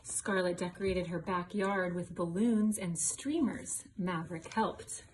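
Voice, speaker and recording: A woman's voice: on the low side, a little slow, a little hiss or hum. A woman reading a children's picture book aloud in a storytelling style. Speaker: storybook reader.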